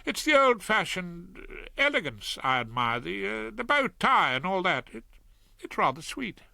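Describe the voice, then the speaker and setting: Man doing a young woman lawyer, teasing, mock-sweet, high, lively, dry close sound. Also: a little slow, vocal fry, dry recording, full character voice.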